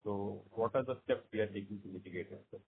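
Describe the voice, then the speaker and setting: A man's voice: lowish voice, fast, breathy edge. A man with an Indian accent asking questions on a business call in hesitant, piecemeal phrases. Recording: muffled phone-like conference line.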